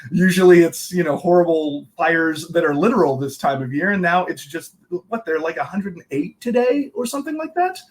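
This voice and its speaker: A chatty man hosting a relaxed interview, his voice sitting a little higher than most men's. He rambles loosely and often stops to correct himself mid-sentence. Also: a little fast, few pauses. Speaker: interview host, co-working board secretary. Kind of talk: casual interview chat.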